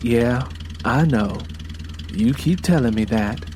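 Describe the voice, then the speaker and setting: A man's voice: mid-range voice, slow pace, a little mellow, a little hiss or hum, natural voice. Man voicing a weary, irritable young woman. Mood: resigned, questioning. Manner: plain questioning, drowsy and grumbling.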